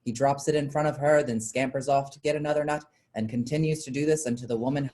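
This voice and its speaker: A woman's voice: a bass voice, steady tone, quick pace, dark tone. A woman reading stage directions as the narrator of a staged play, in scripted narration.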